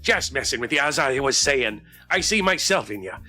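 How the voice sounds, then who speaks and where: A man's voice: medium pitch, expressive, moderate pace, pushed and bright, faint music behind. A man voicing a swaggering, teasing, big-hearted drunk pirate woman from a game, in hearty theatrical pirate dialect. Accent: stage pirate.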